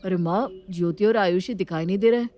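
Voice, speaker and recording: A woman's voice: lowish voice, a little fast, mellow, voice pushed down, lightly acted. A woman playing a considerate, concerned young man in a family story. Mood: worried.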